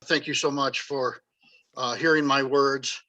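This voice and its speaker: A man telling his personal story as a talk-show guest, halting with frequent fillers. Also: medium pitch, level tone, sharp tone. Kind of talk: personal testimony.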